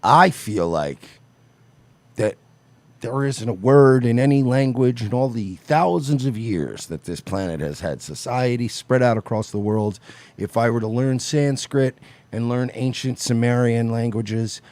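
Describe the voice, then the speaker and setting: Profane, sarcastic man with creaky voice. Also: medium pitch, animated, a little slow, darkish tone. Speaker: online show co-host. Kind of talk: crude mocking commentary banter.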